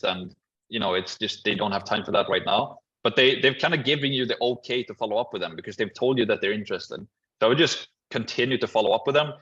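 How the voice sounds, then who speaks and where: A man coaches a group on a video call, giving advice in a quick, relaxed stream. His voice is low and husky. The call audio is muffled. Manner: fast, relaxed, casual advice. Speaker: business coach hosting a Q&A call.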